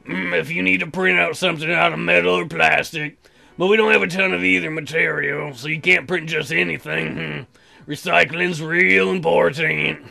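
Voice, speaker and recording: A man puts on a folksy, awkward male librarian from a space colony in a game. He drags his words out haltingly, hemming, humming and clearing his throat in a creaky voice, with music playing underneath. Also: a little high, fairly even intonation, pushed and bright, heavily acted.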